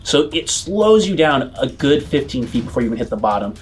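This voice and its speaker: Man, game master, medium pitch, conversational tabletop narration, music behind. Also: big pitch swings, brisk, husky.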